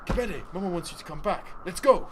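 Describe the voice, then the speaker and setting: A woman's voice: fairly low pitch, animated, fast talker, lightly acted. A woman acting in a radio drama as a responsible older sibling minding a little brother. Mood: urgent, calling. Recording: distant mic, clear background noise.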